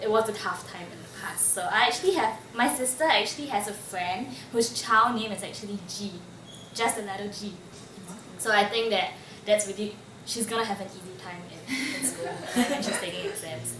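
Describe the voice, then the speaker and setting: A woman, casually telling personal stories and full of "like" fillers. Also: mid-range voice. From Singapore. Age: 20s. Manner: chatty, lots of "like" fillers. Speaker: interviewee. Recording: roomy room, mic at a distance, faint ambience.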